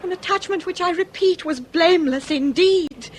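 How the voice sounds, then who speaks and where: Radio drama: high-pitched upper-class English lady, wronged and anguished, formal, theatrical, slight background noise. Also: normal speed. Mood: shuddering, bitter. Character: anguished aristocratic lady confessing, dignified, wronged wife.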